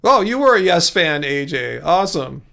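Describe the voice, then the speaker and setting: A man with a Californian accent and a fairly high voice hosts a livestream. He talks in a loose, easygoing way, drifting between thoughts as he answers comments. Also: big pitch swings, normal speed, gravelly, sharp tone. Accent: Californian American. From California, United States. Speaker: livestream host. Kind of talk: casual livestream chat, replying to viewer comments.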